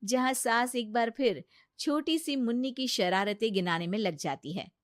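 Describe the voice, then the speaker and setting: Woman narrator for a kids cartoon story, high voice, dry close mic. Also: normal speed, no echo. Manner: even, storytelling.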